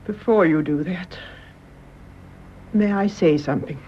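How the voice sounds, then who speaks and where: An actress playing a grave, loving elderly British mother in a radio drama, speaking in a measured, gentle, solemn way. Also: fairly low pitch, lightly acted. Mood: calm, grave. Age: older. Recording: muffled radio recording, clear background noise.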